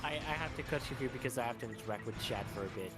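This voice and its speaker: Man, animated, laughing, casual stream chat, music behind. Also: medium pitch, rapid-fire. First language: French.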